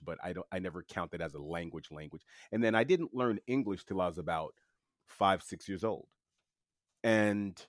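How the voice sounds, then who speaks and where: Interview guest: New man, very low voice, unhurried and halting, lots of fillers, dry close sound. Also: no echo. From Queens, New York City. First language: French. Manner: relaxed, halting, with many fillers and restarts. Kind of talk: casual storytelling.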